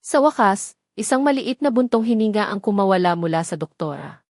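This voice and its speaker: A woman's voice: mid-range voice, animated, brisk, a little mellow, no echo, studio quality. A woman narrating a scripted, dramatic romance story as a storyteller.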